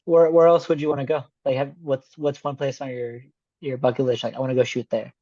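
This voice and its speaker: Man hosting an interview podcast, warm dark tone, dry dull lo-fi recording. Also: medium pitch, fairly quick, dry recording. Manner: relaxed, curious questioning. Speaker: podcast host. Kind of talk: casual interview chat. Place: San Diego.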